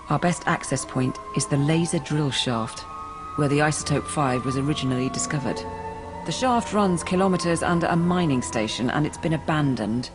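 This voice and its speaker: A woman playing a deferential, cautious military advisor in a video game, measured and serious. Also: low voice, lightly acted. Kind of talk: video game cutscene acting. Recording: clear music underneath.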